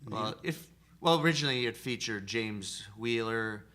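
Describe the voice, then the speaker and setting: A man's voice: mid-range voice, normal speed, sharp tone. A man, a guest musician in a music studio, explaining things in an easygoing way. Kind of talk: casual interview chat.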